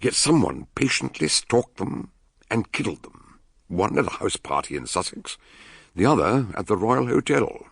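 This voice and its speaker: A man's voice: medium pitch, very animated, normal speed, creaky, husky, heavily acted. An older male actor with an English accent playing an eccentric, brilliant detective doctor in a radio drama, ponderous and kindly. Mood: sombre narration. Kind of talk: radio drama acting and narration.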